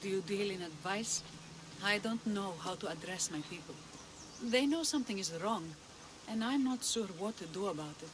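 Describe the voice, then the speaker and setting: A woman's voice: mid-range voice, animated, moderate pace, noisy background, natural voice. An actress playing a worried, dignified female magistrate of an ancient Greek village in a video game, formal and earnest. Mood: earnest, pleading. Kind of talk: video game cutscene acting.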